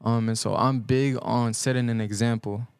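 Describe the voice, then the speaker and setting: A young man born in El Salvador and raised in the US Midwest, a guest musician giving earnest, halting answers on a podcast, full of ums and restarts. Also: medium pitch, fairly even intonation, smooth, dry recording, studio quality. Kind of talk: reflective podcast interview answers. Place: podcast studio.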